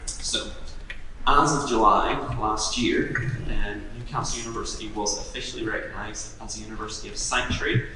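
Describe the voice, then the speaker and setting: A man giving a relaxed, hesitant talk at an event, with many ums and self-deprecating asides. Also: on the low side, moderate pace. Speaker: university outreach speaker. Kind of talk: presentation at an event. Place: event room. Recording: echoey room, mic at a distance.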